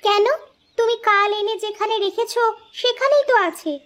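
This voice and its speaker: A woman's voice: extremely high pitch, moderate pace, fairly bright tone. A woman voicing a caring, teasing village housewife and mother who fusses and argues in a cartoon. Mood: fussing, caring. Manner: fussing, scolding affectionately. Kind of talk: Bengali cartoon dialogue acting.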